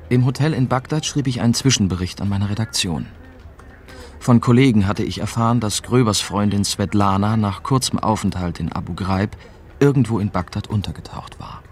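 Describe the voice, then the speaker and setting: Guy playing young war reporter telling his story, low voice, sober radio drama narration. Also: normal speed, darkish tone, lightly acted. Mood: matter-of-fact. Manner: sober, literary first-person telling. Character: young German newspaper correspondent narrating his dangerous investigation.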